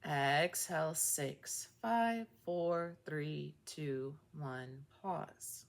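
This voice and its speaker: Female meditation guide, unhurried and calm, deep voice with vocal fry. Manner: slow, calm counting of breaths. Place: meeting room of a recovery group.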